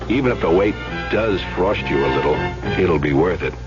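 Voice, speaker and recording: A man's voice: deep voice, expressive, brisk. A man reading a chatty 1970s radio commercial in a folksy, jokey manner. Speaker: commercial announcer. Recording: dull radio recording, music behind. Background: music.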